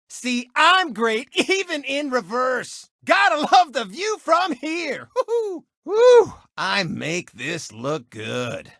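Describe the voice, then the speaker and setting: An actor voicing a cocky, gloating race car turned sportscaster in a video game, with a boastful, mocking announcer delivery. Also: male voice, extremely high pitch, pushed and bright, no room sound, studio quality, heavily acted.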